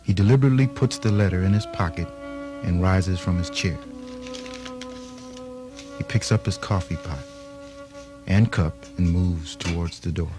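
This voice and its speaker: A man's voice: low voice, normal speed, long pauses. A man reading scripted narration for a radio theatre tale. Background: music.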